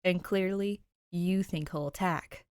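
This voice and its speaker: A woman reads a young man in an audiobook story, easygoing and teasing. The lines are playful and a touch patronizing, in a fairly low register with a close, dry sound. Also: steady tone, a little slow, clear, resonant tone, dry recording, lightly acted. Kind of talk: audiobook character voice, fan fiction reading.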